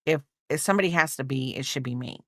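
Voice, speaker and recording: A woman's voice: extremely low pitch, fast talker, fairly bright tone, no room sound, studio quality. A woman in her fifties chatting casually on a crochet livestream, rambling and reading out viewer comments. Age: 50s.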